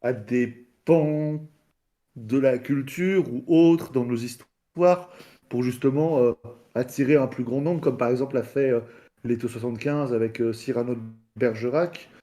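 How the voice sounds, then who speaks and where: A man in a casual debate, speaking haltingly and searching for his words. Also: mid-range voice, dark tone. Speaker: debate participant.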